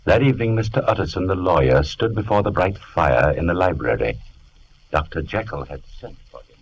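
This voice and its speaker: An actor as a grave storyteller, narrating an old radio horror tale in an ominous, dramatic manner. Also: male voice, low-pitched, expressive, fairly quick, dull audio, slight background noise.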